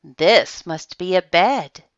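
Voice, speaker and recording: A woman's voice: lowish voice, lively intonation, takes it slowly, no room sound, muffled recording. A woman voicing a small, innocent male toy bear in a children's storybook, earnest and full of wonder. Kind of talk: storybook character voice.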